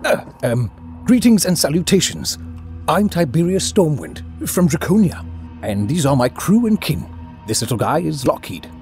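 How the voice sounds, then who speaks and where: A man's voice: on the high side, big pitch swings, moderate pace, a little mellow, soft background music. A man playing an earnest, bumbling dragonborn adventurer in a comedic fantasy audio drama, chatty and awkwardly courteous. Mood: nervously flattering.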